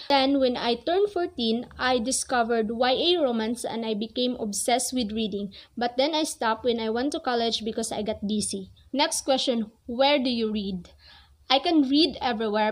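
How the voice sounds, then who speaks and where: A young woman in her twenties, not a native English speaker, talking earnestly to the camera about reading in a small room. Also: on the high side. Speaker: book vlogger. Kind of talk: casual talk to camera. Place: small cozy bedroom with string lights. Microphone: camera at close range.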